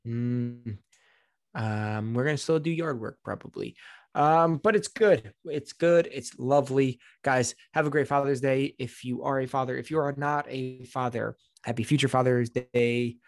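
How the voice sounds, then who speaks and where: A young adult man co-hosting a podcast, playful and expressive, swearing casually in banter. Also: medium pitch, a little slow, no echo, studio quality. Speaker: podcast co-host and actor.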